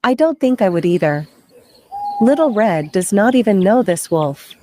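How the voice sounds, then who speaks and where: A woman's voice: mid-range voice, animated, moderate pace, mellow, dry recording. A woman narrating an interactive children's story, playful and conversational, speaking directly to the kids.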